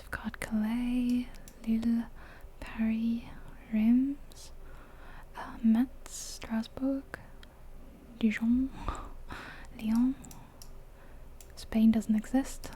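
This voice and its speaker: A woman's voice: a little high, flat delivery, extremely slow, long pauses, dark tone, slight background noise. A woman whispering her way through a game in a chatty, rambling ASMR style. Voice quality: breathy unvoiced whisper. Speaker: ASMR gaming creator. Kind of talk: whispered ASMR gameplay commentary.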